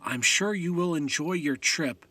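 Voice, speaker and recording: Higher-voiced, wide-eyed male traveller. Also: measured pace, pushed and bright. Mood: tired, reflective. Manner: wondering, hesitant, polite. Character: wide-eyed, polite young male traveller, full of wonder. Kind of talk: audio drama acting.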